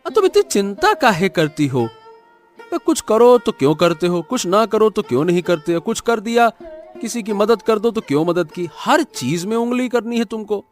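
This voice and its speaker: An actor playing a trusting, stubborn poor farmer, remonstrating colloquially in a cartoon story. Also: male voice, high-pitched, very animated, moderate pace, faint music behind. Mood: exasperated.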